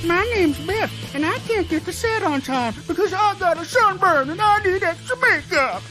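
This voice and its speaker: A man voicing a squeaky puppet parody of a race announcer in a comic video game, exaggerated and mocking. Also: lively intonation, normal speed, over music, low-quality recording. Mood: mocking, hammy.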